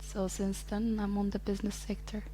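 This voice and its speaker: A woman's voice: medium pitch, completely flat delivery, normal speed, warm tone, a little hiss or hum. Easygoing woman in her twenties. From Ethiopia. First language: Amharic. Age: 20s. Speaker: podcast guest. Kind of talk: casual interview chat.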